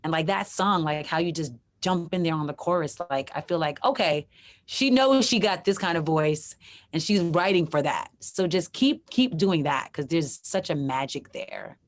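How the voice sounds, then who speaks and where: A woman hosting an online open mic, raspy voice, gushing casually with many restarts. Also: low-pitched, expressive, normal speed. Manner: effusive, chatty with many restarts. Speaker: host. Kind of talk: casual chat.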